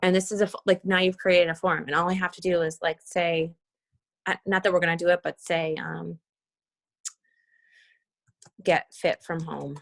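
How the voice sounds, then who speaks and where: A woman with a slightly low, creaky voice walks her team through an on-screen task. She speaks casually, thinking out loud as she goes. The sound is dry and close, with little room around it. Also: fairly even intonation, normal speed, pauses often, no room sound. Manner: casual, thinking aloud while demonstrating. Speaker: team leader giving a tutorial. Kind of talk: informal screen-share walkthrough on a group call. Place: video call with screen share.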